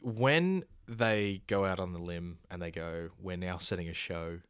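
Low-voiced, sweary, jokey man. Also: unhurried, a bit bright, clean tone, no room sound, clean studio sound. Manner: sweary, jokey, repeats himself. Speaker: podcast co-host. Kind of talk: casual chat about films and shows.

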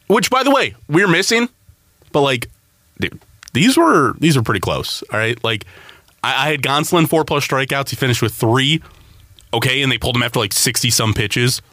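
A young man co-hosts a sports podcast in a bright, forceful voice, ribbing his friend and jumping up in pitch when a story excites him. He speaks close to a dry mic with no room around him. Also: no room sound. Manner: teasing, animated, jumps up in pitch when excited. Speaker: podcast co-host. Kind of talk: casual sports podcast chat.

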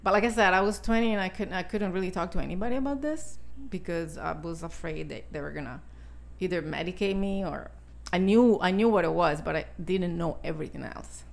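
An Italian-accented woman in her sixties with a gravelly, fry-laced voice that sits on the low side. She talks as a podcast guest, halting and restarting often, filling pauses as she goes. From Italy. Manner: halting, with many fillers and restarts. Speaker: podcast guest, spiritual teacher. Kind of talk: casual conversation.

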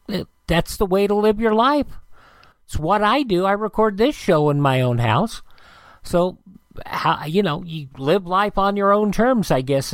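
A man's voice: sits high, no echo. A man presenting a radio show in a folksy, rambling, conversational way from a home studio.

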